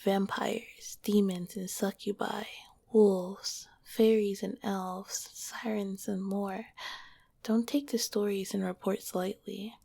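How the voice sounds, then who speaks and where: A gentle slime monster girl whispers close to the ear, as a woman acts her out, her breathy voice catching in a gravelly fry. She teases a little, then turns tender and comforting. The sound is dry and intimate, as in an ASMR roleplay. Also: mid-range voice, flat delivery, slow pace, dry recording, exaggerated voice. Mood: teasing whisper. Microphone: close mic.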